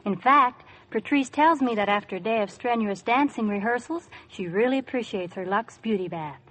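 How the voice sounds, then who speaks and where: A woman's voice: fairly high pitch, normal speed, a bit bright, low-quality recording. An American woman reading scripted promotional lines as a guest on a 1950s radio broadcast.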